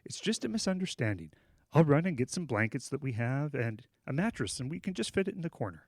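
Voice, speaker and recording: An actor playing a kindly, forgetful church pastor in a holiday radio drama, hesitant and apologetic. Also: male voice, mid-range voice, sing-song, fast talker, dry recording. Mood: earnest pleading.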